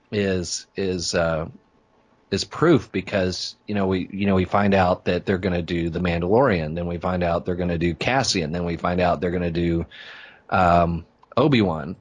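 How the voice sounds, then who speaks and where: A man with a fairly low voice talks as a guest in a relaxed, conversational interview. His way of speaking is easygoing and agreeable. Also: husky. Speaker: interview guest, podcaster and writer. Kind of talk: casual conversational chat.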